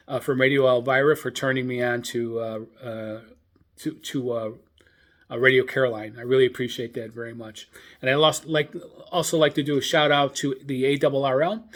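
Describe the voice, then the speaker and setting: A man hosting a casual hobby radio show, talking in a halting, hesitant way with many filler pauses. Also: mid-range voice.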